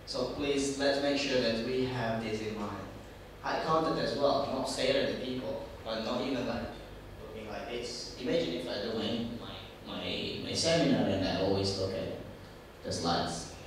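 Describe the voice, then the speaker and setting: A man's voice: mid-range voice, moderate pace, recorded from a distance, a little hiss or hum. Italian-accented male seminar speaker, casual and filler-heavy, recorded from afar in a very echoey hall. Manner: conversational, repeats words, many fillers. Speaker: seminar speaker on job interviews. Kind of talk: informal career seminar talk. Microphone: distant from the microphone.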